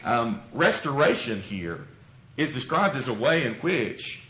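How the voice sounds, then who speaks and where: A male preacher with a mid-pitched, slightly scratchy voice explains scripture from the pulpit. He is measured and earnest, often posing questions to make his point. The room around him echoes clearly. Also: sing-song, bright, forceful tone. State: slightly scratchy from a lingering cough. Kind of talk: expository sermon. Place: church pulpit, roomy indoor space.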